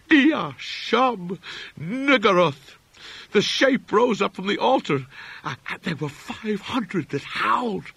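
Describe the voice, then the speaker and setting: A man's voice: high-pitched, creaky, sharp tone, husky, no echo, pitched above the performer's own voice, heavily acted. A man voicing a frenzied, terrified madman in an audiobook horror story, stammering and raving half-incoherently.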